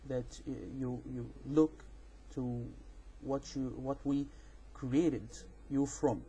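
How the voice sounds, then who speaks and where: A man with an Egyptian accent, a native Arabic speaker, giving an informal explanatory rebuttal in a halting, repetitive, insistent way. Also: mid-range voice, steady tone, dark tone, slightly rough, low-quality recording. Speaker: amateur video responder on religion.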